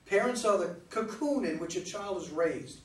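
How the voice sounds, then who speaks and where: Recorded from afar in an echoey, noisy room, a man plays an earnest son keeping peace between his parents in a stage reading. His high voice is animated and pedantic. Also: moderate pace, distant mic. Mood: earnest, pleading. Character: earnest, know-it-all son mediating his bickering parents. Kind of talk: staged play reading before an audience.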